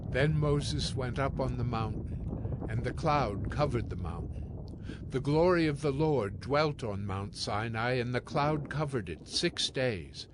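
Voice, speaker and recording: A man narrating scripture from a script in a solemn, measured storytelling manner. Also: medium pitch, animated, background noise. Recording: prominent music bed behind narration.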